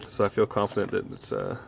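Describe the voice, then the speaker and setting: A young man giving a casual project walkthrough in a low-key, hesitant way, thinking aloud as he goes. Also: wildly swooping pitch, moderate pace, gravelly, a little hiss or hum. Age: young adult. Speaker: hobby electronics vlogger. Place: small cluttered home workbench indoors. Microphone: handheld phone camera.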